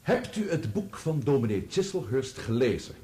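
Husky, very expressive probing barrister. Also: male voice, medium pitch. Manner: theatrical, deliberate, formal. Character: formal, probing male barrister examining a witness. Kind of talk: radio play courtroom acting.

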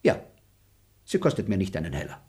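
A smug, stingy bailiff in a radio play, bragging and mocking with a lively, bouncing delivery. He mutters sly asides under his breath. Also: low voice, fairly quick. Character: boastful, dim male bailiff, smug and stingy.

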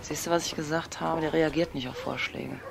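A woman's voice: deep voice, fairly even intonation, fairly quick, vocal fry, a little hiss or hum. A keen filmmaking woman. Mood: miffed. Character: eager, idea-filled female film crew member. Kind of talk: naturalistic radio drama dialogue.

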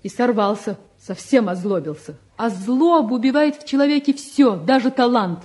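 An actress playing a spirited, frank young woman, a devoted sweetheart, in an expressive 1950s Soviet radio play style. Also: fairly high pitch, moderate pace. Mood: earnest, protective.

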